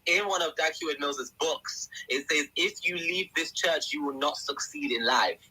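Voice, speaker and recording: Husky, bright young man. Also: fairly high pitch, moderate pace, poor audio. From England. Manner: animated storyteller who acts out quotes. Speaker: guest. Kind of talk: casual podcast testimony.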